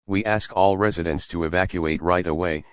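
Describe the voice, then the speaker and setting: Man playing a TV news presenter, low pitch, smooth clear voice, scripted bulletin. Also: normal speed, no echo, natural voice. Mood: serious. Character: male TV news presenter giving urgent update. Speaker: news anchor.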